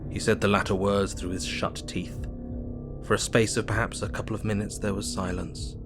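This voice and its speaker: Casting: sombre male diarist-narrator played by a man, low-pitched, observant, measured, Victorian audio fiction over music. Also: little pitch movement, natural voice. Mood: grave. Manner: measured, grave. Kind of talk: dramatized scripted narration.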